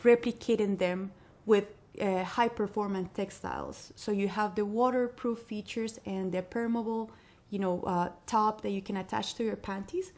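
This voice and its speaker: A woman's voice: medium pitch, steady tone, normal speed, darkish tone. Earnest woman. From Colombia. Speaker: guest, social entrepreneur. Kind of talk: interview answers.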